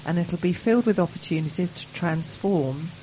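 A woman co-hosting a business radio show over a remote link, reading event details in a chatty, playful way with asides. Her voice sits on the low side, with a faint hiss of noise behind it. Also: moderate pace, warm tone, low-quality recording. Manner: chatty, reads listings aloud with playful asides. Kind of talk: casual radio chat.

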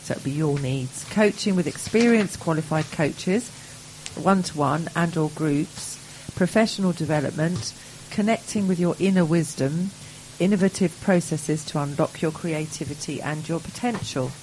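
A woman co-hosting a business radio show over a remote link, reading event details in a chatty, playful way with asides. Her voice sits on the low side, with a faint hiss of noise behind it. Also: moderate pace, darkish tone, poor audio. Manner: chatty, reads listings aloud with playful asides. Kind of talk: casual radio chat.

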